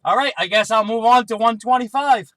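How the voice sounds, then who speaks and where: A man's voice: extremely high pitch, fairly quick, fairly bright tone, a little hiss or hum. A man talking casually as a CB radio operator, making brief two-way range-check calls.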